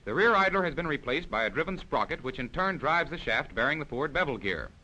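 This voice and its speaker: A man's voice: a little high, big pitch swings, a little fast, bright, forceful tone, a little hiss or hum, rough recording. A man reading scripted narration for a 1940s military instructional film in a formal, precise, explanatory manner.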